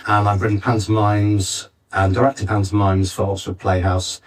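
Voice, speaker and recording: A man's voice: on the low side, little pitch movement, moderate pace. A man in his late fifties talking informally as a panelist at a live discussion. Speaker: panelist, playwright and theatre director. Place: arts centre theatre, live panel. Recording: distant, varying-quality backup live recording.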